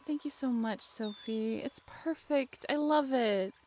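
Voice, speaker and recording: A woman's voice: fairly high pitch, unhurried, dark tone, slight background noise, close to an everyday voice. A woman playing an affectionate, grateful birthday celebrant and werewolf's partner in an intimate roleplay audio drama. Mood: amazed, grateful. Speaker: voice actor.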